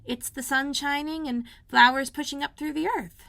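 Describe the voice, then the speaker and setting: A woman voicing an eager, bossy young girl full of wonder in a children's storybook, speaking with animated emphasis. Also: high voice, normal speed, creaky, pitched above the performer's own voice, full character voice. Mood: dreamy.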